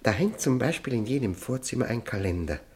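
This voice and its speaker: A man's voice: mid-range voice, animated, fairly quick, a little mellow, natural voice. An actor as a desperate male prisoner narrating a radio play. His delivery swings widely in melody, intense and obsessive. He halts and repeats words as he recounts his confinement. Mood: feverish longing. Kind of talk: German radio play, dramatic first-person narration.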